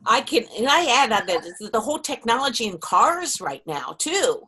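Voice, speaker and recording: Husky-voiced woman on a live panel. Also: a little high, sing-song, moderate pace, bright, forceful tone. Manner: restarts and stumbles over her words. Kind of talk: casual panel chat on a livestream.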